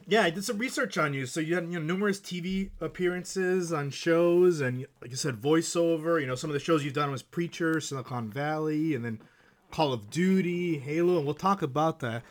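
A man's voice: fairly high pitch, brisk. A man hosting a casual podcast interview who asks short, direct questions. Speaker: podcast host.

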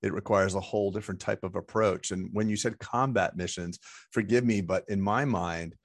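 A man with a low voice asks loose, curious questions, often restarting his sentences. His voice sounds close and dry, with no room behind it. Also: fairly even intonation, normal speed, no echo. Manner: chatty, curious, rambling questions. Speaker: interviewer. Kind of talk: casual interview questions.

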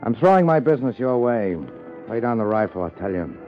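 An American actor playing a guarded, tough client in a 1950s radio drama, speaking tersely and bluntly. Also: male voice, mid-range voice, sing-song, a little fast, music in the background, lightly acted. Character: guarded, tough male client with shady business. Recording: muffled 1950s radio recording.

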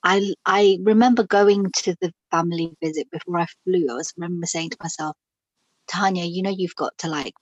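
A woman's voice: fairly low pitch, a little fast, no room sound, highs cut off. A middle-aged woman from Pakistan, a guest telling her personal story in an interview, frank and reflective, with many fillers and restarts.

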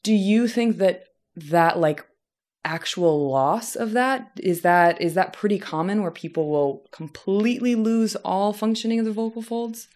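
A woman hosting an interview, chatty and animated, with lots of casual fillers as she talks. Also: medium pitch, measured pace. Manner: chatty, animated, many fillers like "you know". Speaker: podcast host.

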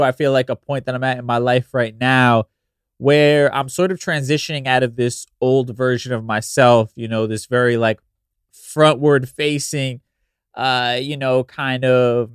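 A man's voice: medium pitch, dry recording, studio quality. A man hosting a podcast solo in a casual, meandering, conversational way, sounding slightly nasal and congested from allergies. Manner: conversational, meandering, frequent "you know". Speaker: podcast host.

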